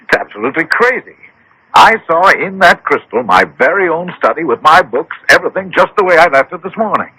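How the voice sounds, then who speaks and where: An actor playing a headstrong, agitated gentleman in a radio drama, speaking insistently with dramatic, halting exclamations. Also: fairly high pitch, animated, slightly rough, no echo, heavily acted, low-quality recording. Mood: shaken, insistent. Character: headstrong, agitated gentleman demanding to know his fate. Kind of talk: 1970s-80s radio drama acting. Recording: old radio broadcast, faint noise.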